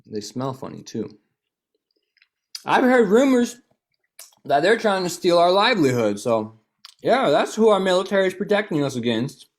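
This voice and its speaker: A speaker performing a theatrical monologue in a conversational, halting, knowing way. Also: mid-range voice, sing-song.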